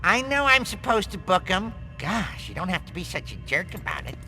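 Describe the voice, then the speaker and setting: Blustering cartoon cop, sensitive, chatty, gravelly edge, highish voice, extremely animated, music underneath. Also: male voice, normal speed, exaggerated voice. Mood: wounded. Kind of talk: animated game cutscene dialogue.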